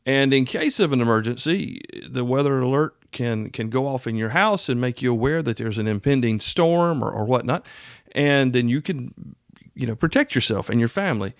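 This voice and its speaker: A man's voice: mid-range voice, lively intonation, moderate pace, dry recording, studio quality. A middle-aged man hosting a podcast, explaining things in an earnest, conversational, folksy way. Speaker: podcast host. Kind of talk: casual explanatory monologue.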